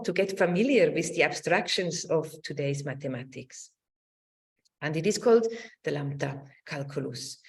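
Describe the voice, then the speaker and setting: A middle-aged woman gives an academic online talk, searching for words and repeating phrases as she goes. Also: on the low side. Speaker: academic lecturer.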